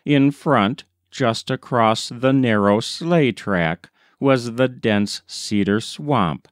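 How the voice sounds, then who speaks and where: A man reading a short story aloud as scripted literary narration, in a measured, deliberate storytelling manner. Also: lowish voice, no room sound, studio quality. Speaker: audiobook reader.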